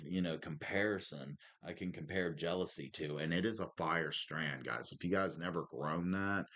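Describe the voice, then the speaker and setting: A man with a deep smoker's voice chatting casually as a podcast co-host, slangy and laid-back. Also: a bit bright. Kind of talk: casual chat.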